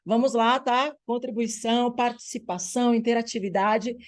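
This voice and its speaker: A woman's voice: on the high side, steady tone, normal speed. A Brazilian woman hosting an online women's group meeting over a video call, upbeat and encouraging, often checking in with the audience. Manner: upbeat, warm-sounding through her words, drops in frequent "tá bom?" check-ins. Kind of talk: lively welcoming online meeting talk.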